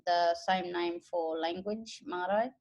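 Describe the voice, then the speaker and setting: An Australian woman from the Northern Territory, an educator and interpreter, telling her own story in a relaxed, thoughtful way with pauses. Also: on the low side. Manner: unhurried, thoughtful, with pauses and fillers. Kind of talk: relaxed personal account.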